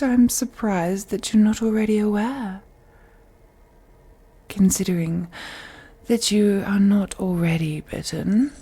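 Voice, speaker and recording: Slow, breathy vampire seductress played by a woman. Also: mid-range voice. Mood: teasing, condescending. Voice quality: soft, breathy. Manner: slow, teasing, condescending, drawn-out phrasing with breathy whispers. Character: wealthy elder vampire woman, haughty, seductive, toying predator. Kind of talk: intimate roleplay audio drama monologue.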